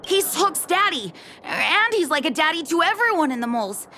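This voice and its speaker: A woman voicing a bossy, excitable young boy in a kids' gang, theatrical and self-important, for a video game. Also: very high-pitched, normal speed, a little hiss or hum, heavily acted. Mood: worried, urgent. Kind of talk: animated video game voice acting.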